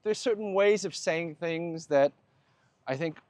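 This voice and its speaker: A man's voice: on the high side, a little slow, darkish tone, dry recording. Middle-aged male host, reflective and easygoing, dry close sound. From United States. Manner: thoughtful, laughs easily, restarts phrases. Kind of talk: casual conversation while walking. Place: outdoors on a walk.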